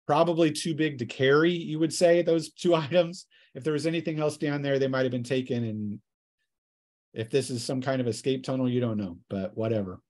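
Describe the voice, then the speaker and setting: A man working as game master, narrating a tabletop role-playing session in a casual, improvised, halting way. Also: mid-range voice, darkish tone.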